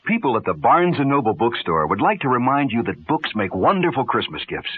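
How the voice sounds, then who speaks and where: A man's voice: medium pitch, very animated, fairly quick. A man announcing a scripted 1970s radio advertisement. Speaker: commercial announcer. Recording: muffled narrow-band vintage radio.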